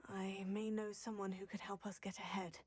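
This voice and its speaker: A woman's voice: medium pitch, little pitch movement, normal speed, a little mellow, breathy edge, natural voice. An actress playing a determined young female student witch, earnest in manner.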